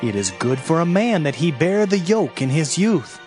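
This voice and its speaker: A man narrating scripture in a solemn, measured, dramatized reading. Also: fairly high pitch, big pitch swings, darkish tone. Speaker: Bible narrator. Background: background music.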